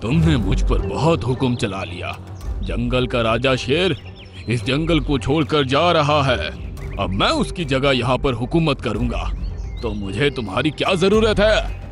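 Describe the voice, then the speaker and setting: A man playing a power-hungry, boastful monster crane villain in an animated story, speaking in a threatening manner. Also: medium pitch, unhurried, faint music behind. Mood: menacing, gloating. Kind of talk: Hindi animated story character voice.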